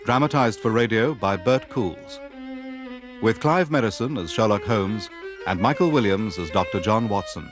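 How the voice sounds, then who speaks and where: A male announcer reading credits in a measured, formal manner. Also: lowish voice. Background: violin music.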